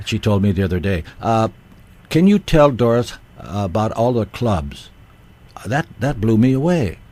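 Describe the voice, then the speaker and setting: Husky low-voiced radio host man. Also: sing-song, measured pace, darkish tone. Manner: friendly, laughs often, relays fan messages. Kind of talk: casual radio chat. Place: radio studio.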